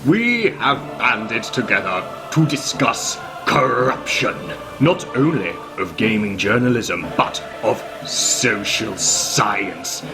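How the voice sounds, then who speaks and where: A man's voice: on the low side, vocal fry. A man giving a solemn dramatic reading of a manifesto, over choral music. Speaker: reader. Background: dramatic orchestral choral music.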